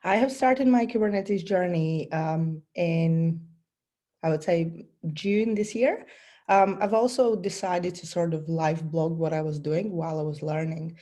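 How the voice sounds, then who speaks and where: Low mellow woman with a cold presenting. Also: a little slow. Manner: earnest, explains step by step with many ums. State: has a bad cold. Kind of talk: technical webinar presentation. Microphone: video call.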